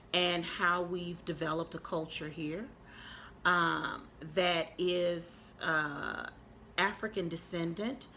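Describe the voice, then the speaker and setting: A woman host giving reflective commentary in a thoughtful, measured way. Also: fairly low pitch, bright, forceful tone, slight background noise. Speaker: host and interviewer.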